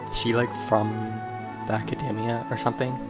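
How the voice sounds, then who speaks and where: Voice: medium pitch, flat delivery, a little fast. A gamer giving casual, offhand commentary on a playthrough, sometimes sarcastic, sometimes reading out dialogue choices. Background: game music.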